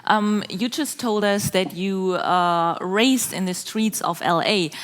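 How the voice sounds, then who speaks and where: A woman asks her questions hesitantly, pausing and searching for the right words. Her voice carries a long, lingering reverb, as if recorded in a large hall. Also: mid-range voice. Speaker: interviewer. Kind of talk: interview questions.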